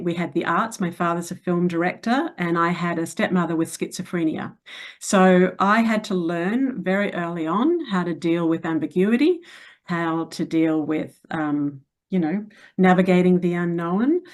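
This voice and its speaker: Middle-aged woman from New Zealand, slightly low voice, frank and reflective, hesitant fillers. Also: measured pace. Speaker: interview guest. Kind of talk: conversational interview answers.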